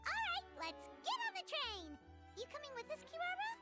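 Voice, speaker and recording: A woman's voice: very high voice, big pitch swings, fairly quick, loud music behind the voice. A woman voicing a tiny, cheerful, childlike fairy companion in an anime video game, chirpy and eager. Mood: sheepish, cheerful.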